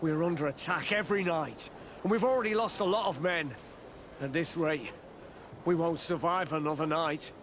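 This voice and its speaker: A man's voice: fairly high pitch, normal speed, background noise, close to an everyday voice. A man voicing a dutiful, worried military officer of a besieged outpost in a video game, earnest in manner. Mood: anxious, urgent. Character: worried male outpost officer, dutiful soldier under siege. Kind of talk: video game cutscene acting.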